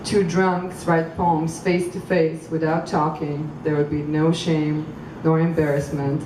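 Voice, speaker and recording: A woman from Croatia reading poems at a public event, halting and thoughtful between poems and measured while reading. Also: low-pitched, little pitch movement. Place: outdoor public venue. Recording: echoey, far from mic, street noise.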